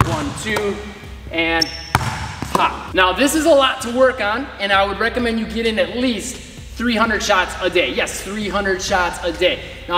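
A man's voice: sits high, noisy background. A man in his thirties, a basketball coach, giving casual instruction while demonstrating moves in a large indoor gym, filmed by a camera some distance away. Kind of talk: instructional video. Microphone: far camera. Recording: echoey gym with long reverb.

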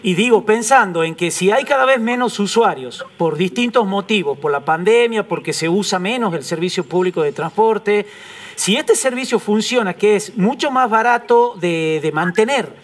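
Male Argentine TV interviewer, middle-aged, high-pitched voice, polite and tentative questioning. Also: lively intonation, moderate pace. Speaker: news presenter, interviewer. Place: TV news studio.

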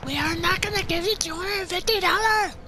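A young woman chatting with friends while playing an online shooter, loud and playful, yelling silly threats, recorded on a headset with noisy game chat audio. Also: very high-pitched, a little fast. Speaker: gamer.

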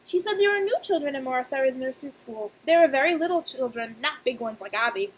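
A young woman, a nursery teacher, reading aloud and talking to young children in simple, expressive speech with emphatic pauses. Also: sits high. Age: young adult. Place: small indoor room. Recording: clear background noise, webcam-style room recording.

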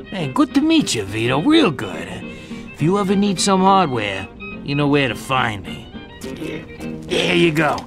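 An actor playing a coarse, chatty middle-aged American war veteran who sells guns in a video game. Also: male voice, on the high side, a little slow, gravelly, over music, heavily acted. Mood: friendly. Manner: excitable, coarse, salesman-like. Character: gruff, chatty one-eyed war veteran gun dealer. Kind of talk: video game cutscene acting.

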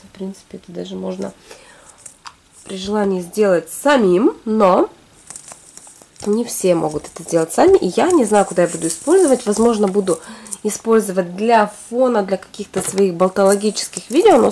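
A woman in her thirties from Russia, hoarse from a cold, chatting casually through a shopping haul at home. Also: mid-range voice, dark tone. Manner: chatty, rambling, talks to herself. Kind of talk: casual product haul chat. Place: home, recording products on a fluffy rug.

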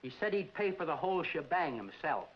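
High-voiced dry club member. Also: male voice, very animated, fairly quick. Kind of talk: 1940s film comedy acting. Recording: dull, noisy 1940s film soundtrack.

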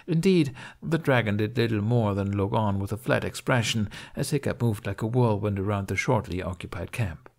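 A man's voice: fairly low pitch, normal speed, gravelly, few pauses. A man reading scripted fiction as an audiobook narrator.

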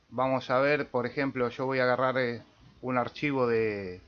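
A man with an Argentine accent giving a casual step-by-step software tutorial as host, with small check-in tags. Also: medium pitch. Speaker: amateur radio tutorial host. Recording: dull lo-fi voice-over recording.

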